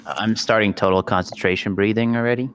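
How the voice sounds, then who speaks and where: A man's voice: fairly low pitch, steady tone, a little fast, fairly bright tone, a little hiss or hum. A man talking casually as a player in a tabletop roleplaying game. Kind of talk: casual game chat, asking questions.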